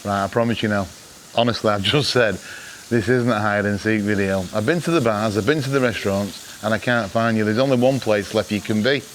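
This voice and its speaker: A man's voice: on the low side, fairly quick, slight background noise, low-quality recording. A British man chatting casually as a vlog host, with a jokey, wry, mock-exasperated way of telling things. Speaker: vlog host giving travel gadget tips. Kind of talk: casual chat to camera.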